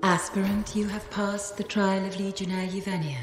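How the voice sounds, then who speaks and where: A woman's voice: medium pitch, little pitch movement, moderate pace, lightly acted. A woman voicing a solemn ancient guardian spirit in a video game, making formal ceremonial proclamations. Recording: echoey hall reverb, faint music.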